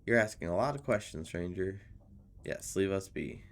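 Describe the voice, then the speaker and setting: A man's voice: deep voice, lively intonation, moderate pace. Man voicing a suspicious, folksy local drinker. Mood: wary. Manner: guarded, folksy, needling. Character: suspicious, grudging local pub drinker, gossipy townsman. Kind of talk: visual novel character reading.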